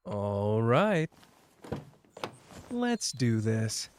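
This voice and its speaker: Roleplay ASMR: male actor as young sorcerer partner, playful, protective, casual. Unhurried, lively intonation. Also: on the low side, slight background noise, full character voice. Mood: upbeat, casual. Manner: teasing, casual, tender. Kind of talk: roleplay ASMR acting, addressed to the listener.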